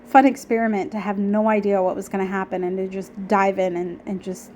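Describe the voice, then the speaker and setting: A young woman talking casually about her painting, a bit nasal and raspy from a cold, chatty and self-deprecating with lots of ums and likes. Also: mid-range voice, flat delivery, a little fast, a little mellow, slight background noise. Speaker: art vlogger. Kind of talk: casual narration over painting footage.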